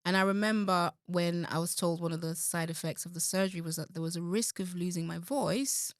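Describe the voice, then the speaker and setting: Woman singer being interviewed, earnest and thoughtful, lowish voice, dry close sound. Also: a little fast, no echo. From London. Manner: earnest, reflective, warm about her faith. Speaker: interview guest, gospel singer. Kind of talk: casual interview talk.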